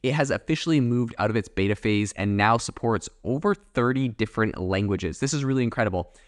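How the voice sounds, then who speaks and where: A man's voice: lowish voice, lively intonation, brisk, no room sound, clean studio sound. A man hosting his own podcast, talking casually in a rambling way with lots of fillers and restarts. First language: English. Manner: chatty, rambling with fillers and self-corrections. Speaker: podcast host. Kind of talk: casual solo commentary.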